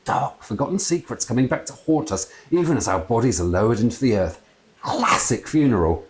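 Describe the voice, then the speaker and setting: A man voicing a ghostly father in a storybook, rambling, fussy and excitable. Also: medium pitch, a little fast, pitched above the performer's own voice, close to an everyday voice. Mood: theatrical, eager. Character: chatty, grumbling ghost father with theatrical tastes.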